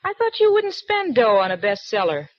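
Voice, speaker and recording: An actress playing a breezy library clerk who talks in a casual, slangy, teasing way, in 1940s radio acting. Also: female voice, fairly high pitch, wildly swooping pitch, normal speed. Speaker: library assistant. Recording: dull dry 1940s radio broadcast recording.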